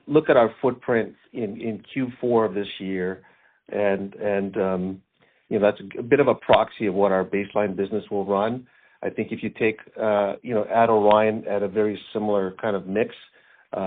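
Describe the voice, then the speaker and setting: A man speaking as a finance executive, answering questions on an earnings call in a halting way, repeating words as he goes. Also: on the low side. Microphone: conference call line. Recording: thin phone-like conference call line.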